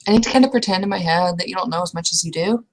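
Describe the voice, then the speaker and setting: Lower-pitched young woman, hesitant and casual. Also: rapid-fire, poor audio. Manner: casual, hesitant, trailing restarts with mild swearing. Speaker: roleplay player. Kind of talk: improvised in-character roleplay conversation.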